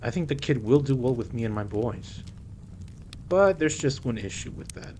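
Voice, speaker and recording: Guy playing a smooth teasing mafia boss man, playful, audio rp, little bit of background noise. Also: mid-range voice, expressive, normal speed, warm tone, close to an everyday voice. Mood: serious, measured. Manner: teasing, smooth, code-switches into Spanish. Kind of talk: audio roleplay drama acting.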